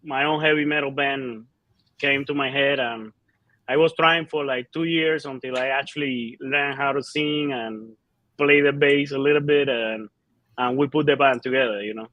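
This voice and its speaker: Halting Cuban-born metal singer, dry sound. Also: male voice, mid-range voice, steady tone, dry recording, muffled recording. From Cuba. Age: 30s. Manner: halting, many fillers and restarts. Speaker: band singer and bassist. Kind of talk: casual interview answers.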